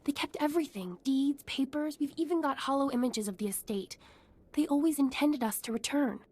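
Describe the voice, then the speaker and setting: Game settler, female actor: earnest, hopeful woman with a high voice, polite and pleading. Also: brisk, darkish tone, natural voice. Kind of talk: scripted video game acting.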